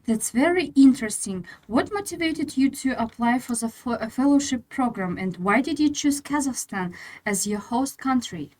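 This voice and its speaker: Slightly high-voiced polite woman co-host. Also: lively intonation, moderate pace, a little mellow, poor audio. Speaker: podcast co-host. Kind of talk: interview hosting.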